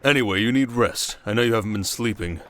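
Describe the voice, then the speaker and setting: A man playing a shrewd, confident king in an ASMR roleplay drama, speaking in a measured, wry and protective way. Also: fairly low pitch, a bit bright, lightly acted. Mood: tender, quiet.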